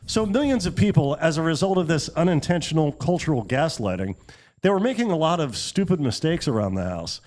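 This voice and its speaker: A man with a fairly high, very animated voice gives comedic commentary as a podcast co-host. He is wry and slips into imagined quotes as he tells the story. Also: fairly quick.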